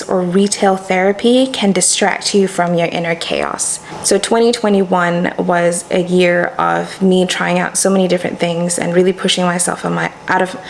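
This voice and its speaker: A woman's voice: on the low side, creaky, slight background noise, rough recording. A young woman from Malaysia whose first language is Malay, talking in an earnest, reflective personal vlog as a YouTuber. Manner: earnest, thoughtful, with soft fillers.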